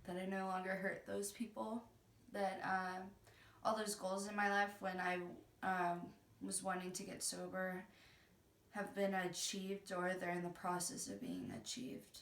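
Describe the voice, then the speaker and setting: A woman's voice: medium pitch, measured pace, a little hiss or hum. A woman in her twenties speaking hesitantly in a documentary interview, with many ums and likes. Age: 20s. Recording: echoey room, from a distance.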